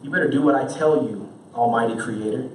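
A young man preaches from a church stage in an earnest, questioning tone. His voice sits at a middle pitch and is picked up from a distance, so it rings around the hall with a little background noise. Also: a little fast. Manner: earnest, asks many rhetorical questions. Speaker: pastor. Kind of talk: sermon. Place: church stage with band gear, indoors. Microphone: stand mic, far camera. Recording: roomy church sound from far off.

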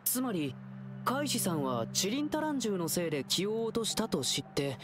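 An actor voicing a calm, wise young guardian who lectures gently in a game cutscene. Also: male voice, high voice, brisk, noisy background. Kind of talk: Japanese game voice acting.

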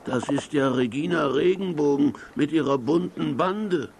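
A man's voice: fairly high pitch, unhurried, darkish tone, a little hiss or hum. A man playing a bumbling but kind-hearted sidekick in a children's audio drama, hesitant and simple-minded. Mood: cheerfully sly.

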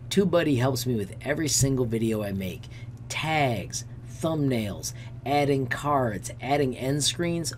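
A man's voice: medium pitch, a little mellow. Enthusiastic man, 30s, teacher-host, friendly mid-range voice, light room noise. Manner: upbeat, enthusiastic, keeps calling viewers friends. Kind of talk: channel update screen-share vlog. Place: indoor room.

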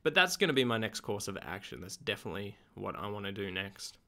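A young man, slightly hoarse from being sick, chatting in a relaxed, rambling way with lots of ums in a video diary at home. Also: fairly low pitch, fast. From Australia. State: slightly hoarse, getting over an illness. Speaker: vlogger.

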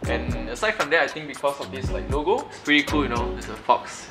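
A man in his twenties with a Malaysian English accent, a player speaking in a relaxed, hesitant way with many fillers. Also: mid-range voice, fast talker. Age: 20s. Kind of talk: casual team interview. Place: living room with sofas, indoors. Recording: loud background music.

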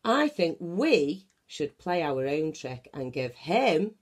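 A woman voicing a fed-up, commanding male lion in a children's storybook, stern and deliberate. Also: low voice, sing-song, husky, heavily acted.

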